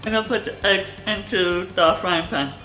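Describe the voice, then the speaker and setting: A woman's voice: fairly low pitch, normal speed. A young woman hosting a home cooking show in a cabin kitchen, explaining each step in short, simple sentences. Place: cabin kitchen with wooden walls, indoors. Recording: roomy with music behind.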